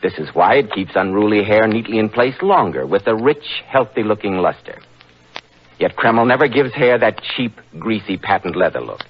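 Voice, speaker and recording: An American man announcing a scripted 1940s radio commercial in a polished, emphatic selling manner. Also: lowish voice. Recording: dull, noisy old radio recording.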